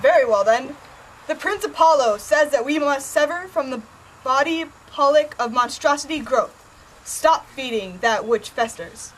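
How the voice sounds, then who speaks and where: A woman acting a high-voiced royal envoy. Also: animated, measured pace, a bit bright, poor audio. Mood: solemn. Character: formal royal brother bringing the god's message. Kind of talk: amateur stage reading of Greek tragedy.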